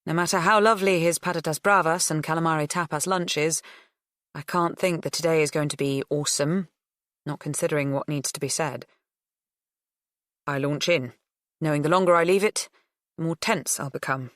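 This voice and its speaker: Female narrator for first-person fiction audiobook. Low register, very dry recording. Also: normal speed, fairly bright tone, dry recording.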